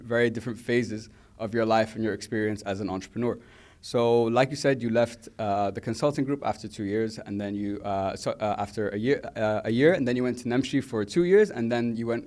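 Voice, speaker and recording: A man's voice: medium pitch, darkish tone. Young male host, earnest, long-winded questions, casual interview tone.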